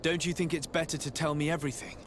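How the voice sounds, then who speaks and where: A male actor plays a noble legate in a fantasy game, composed and insistent. His voice is fairly high for a man, and his speech is formal and persuasive. Clear ambient noise runs behind him. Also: fairly even intonation, fast talker, close to an everyday voice. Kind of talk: game cutscene acting.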